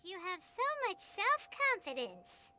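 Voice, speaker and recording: Very high, squeaky little sprite. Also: female voice, huge pitch swings, slow, exaggerated voice. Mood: impressed. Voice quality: squeaky, childlike. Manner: chirpy, inquisitive. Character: small cheerful curious child-like companion sprite. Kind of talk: video game dialogue acting. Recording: loud game music behind voice. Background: loud playful tinkling music.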